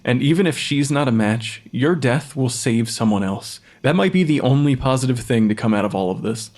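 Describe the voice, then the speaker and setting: An actor playing a weary, bitter forensic pathologist who talks to the dead in an audio drama. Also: male voice, medium pitch, animated, moderate pace, a little mellow, close to an everyday voice. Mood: cold resolve.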